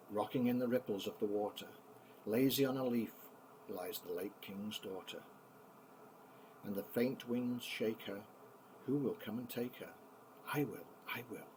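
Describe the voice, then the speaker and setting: An older man, a grandfather, reading children's poems aloud in an expressive, theatrical bedtime style. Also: lowish voice, slight background noise, low-quality recording.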